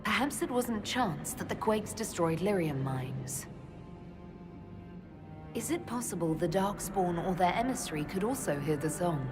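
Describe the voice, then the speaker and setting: A composed female leader from a fantasy video game, her voice on the low side. She sounds inquisitive and diplomatic as she questions her companions. Clear music runs underneath. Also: expressive, a little slow. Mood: thoughtful, curious. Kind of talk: video game cutscene dialogue.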